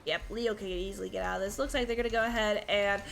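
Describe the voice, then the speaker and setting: A woman doing live play-by-play commentary on an esports match, sounding stuffy from allergies and getting excited in close fights. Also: medium pitch, quick pace, vocal fry, faint music behind. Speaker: esports caster.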